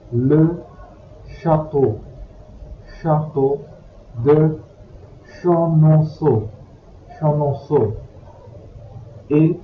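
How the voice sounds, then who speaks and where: A man with a somewhat high voice reads lesson texts at a very slow, deliberate pace. He pauses after every word so learners can follow. The recording is echoey and a little dull, with faint noise behind him. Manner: reads each passage, then repeats it word by word. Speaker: language teacher.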